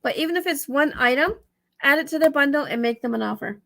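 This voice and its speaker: Raspy, higher-pitched woman thinking aloud. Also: lively intonation, fast, a bit bright. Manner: rambling, thinking aloud, self-correcting. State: tired, dry-throated. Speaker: vlogger giving reselling tips. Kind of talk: casual screen walkthrough tutorial.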